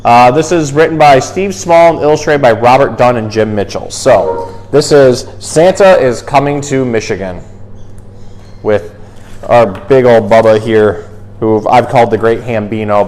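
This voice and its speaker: A man's voice: medium pitch, normal speed, darkish tone. A man presenting as a zookeeper in a casual, explanatory style suited to educational animal talk and storytime. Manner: informal, explanatory.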